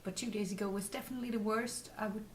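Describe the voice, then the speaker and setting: A woman from the Netherlands with a Dutch accent, hosting a casual craft vlog in a halting, rambling way while getting over a cold. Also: medium pitch, fairly even intonation. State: recovering from a cold. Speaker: podcast host. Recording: distant mic with faint noise.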